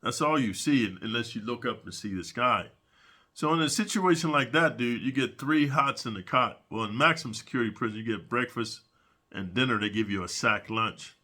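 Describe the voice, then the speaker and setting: A man's voice: mid-range voice, brisk, pushed and bright. A middle-aged man telling prison stories in a rambling, casual monologue, sniffling from allergies and dropping "man" and "dude" all the time. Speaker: prison storyteller.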